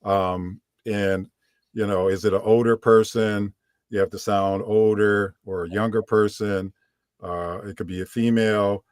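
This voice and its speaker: A man with a deep voice, an audiobook narrator and coach, answers interview questions in a relaxed, rambling way with many fillers. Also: a little slow, clean tone, dry recording, studio quality.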